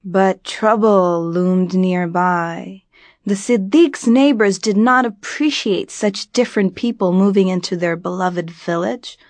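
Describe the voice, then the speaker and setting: A woman with an American accent tells a folk tale as an expressive storyteller, in a deliberate, dramatic manner with phrases drawn out. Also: medium pitch, dry recording. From grew up in Virginia, United States.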